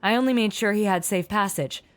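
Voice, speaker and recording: An actress playing a shrewd, cool, unapologetic criminal in an audio drama, pointed and self-assured. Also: female voice, medium pitch, fairly quick, natural voice. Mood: defiant.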